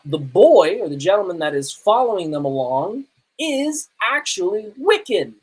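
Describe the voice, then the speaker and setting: A man's voice: on the high side, rough recording. Casual male podcast host. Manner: conversational, rambling, self-correcting. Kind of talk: casual live podcast talk and reviews.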